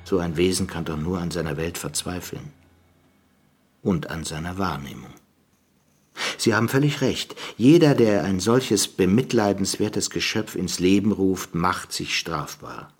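An actor playing a grave interviewee in a radio drama, speaking formally and rhetorically with conviction. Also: male voice, low voice, a little slow, husky, exaggerated voice. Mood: earnest. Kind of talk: German radio drama acting.

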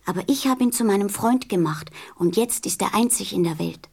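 A woman's voice: medium pitch, a little fast, a little mellow, husky. A woman playing a tender, innocent little boy prince in a radio play, speaking earnestly and carefully. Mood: earnest, firm.

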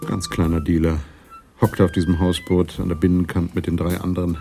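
Deep, dark-voiced conspiratorial young cop. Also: male voice, flat delivery, a little fast, gravelly, soft background music. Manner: clipped, slangy. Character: tough young male street cop in leather, conspiratorial. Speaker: actor in a radio play. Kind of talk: German radio crime drama acting.

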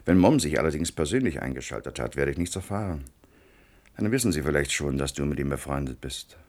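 A man's voice: extremely low pitch, lively intonation, a little fast, darkish tone, heavily acted. An actor in a German radio play playing an evasive, defensive father who is a district inspector, middle-aged or older. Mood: cautious, probing.

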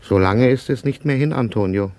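An actor playing a gentle, melancholy older flower seller in a German radio drama, with a measured, lyrical, wistful manner. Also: male voice, mid-range voice, natural voice. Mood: wistful pleading. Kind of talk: German radio play acting.

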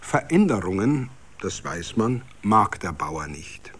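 A man reading scripted literary narration for a radio play in a measured storytelling manner. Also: on the low side, big pitch swings, husky. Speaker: narrator and reader of all parts.

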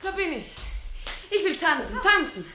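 An actress playing a giddy, excitable young brothel girl in a radio drama, calling out in exclamations. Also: very high voice, moderate pace, background noise. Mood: giddy, excited. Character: giddy, shrill young female brothel girl craving dancing. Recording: echoey room with music behind.

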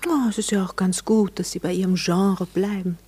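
A woman acts as a married Viennese society lady in a radio play. Her voice is mid-pitched and she talks with imperious, mocking sarcasm, flirting underneath the reproach. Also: steady tone, moderate pace, dark tone, slightly rough, natural voice. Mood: sarcastic, mocking. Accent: Austrian, Viennese. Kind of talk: German radio drama dialogue.

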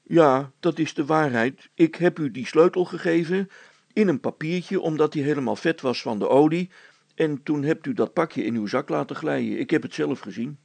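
A man voicing a blunt, down-to-earth, dry-witted fellow in a story, matter-of-fact and deadpan. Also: on the high side, normal speed, gravelly, husky, dry recording. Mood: insistent.

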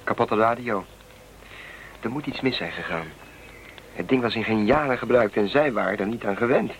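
An actor playing a tender, practical young settler on a new planet in a radio play, with an earnest, reassuring manner. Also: male voice, lowish voice, moderate pace, natural voice, rough recording. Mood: concerned. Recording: radio drama with loud background noise.

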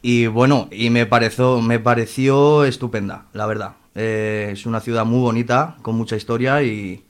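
A man's voice: mid-range voice, steady tone, normal speed, hardly any pauses. A man in his late twenties with a Madrid accent, answering informally as an interview guest, hesitant, repeating words and often adding tag questions. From Madrid, Spain.

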